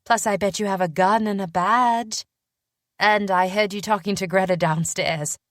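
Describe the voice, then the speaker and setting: Woman acting a high, peppy girlish young witch. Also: fairly even intonation, normal speed, fairly bright tone, no echo, clean studio sound, close to an everyday voice. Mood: admiring. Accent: British. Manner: bubbly, self-deprecating, sassy.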